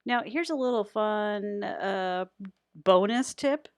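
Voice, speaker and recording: A woman's voice: medium pitch, slow pace, clear, resonant tone, no room sound, clean studio sound. A woman giving a casual, unscripted editing tutorial in a chatty, rambling way with self-deprecating humour.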